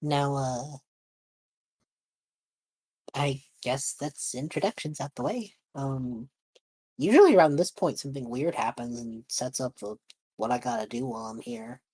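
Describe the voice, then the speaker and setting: A woman with a husky voice, improvising in character in a tabletop roleplaying game. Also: extremely low pitch, animated, unhurried, long pauses, dark tone, dry recording, rough recording.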